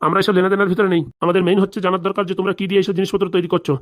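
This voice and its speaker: Woman voicing a very fast-talking, officious inspector. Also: medium pitch, level tone, natural voice. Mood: stern. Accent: rural Bengali dialect. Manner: stern, pushy, officious. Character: officious, bullying government inspector threatening arrest. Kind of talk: comic cartoon dialogue.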